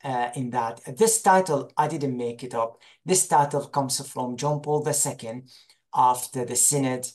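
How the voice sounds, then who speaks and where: Man, forties, Iraqi accent, medium pitch, hesitant interview guest, video call. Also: measured pace, a little mellow. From Iraq. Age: 40s. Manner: hesitant, searching for words. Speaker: guest, religious teacher. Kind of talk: informal interview talk. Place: small room indoors, on a video call.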